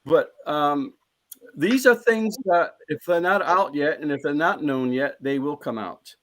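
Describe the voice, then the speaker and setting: A man with a fairly high-pitched voice speaks as a panelist in a live discussion. He is earnest and emphatic, and he circles back over his own phrases as he presses each point home. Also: measured pace, fairly bright tone.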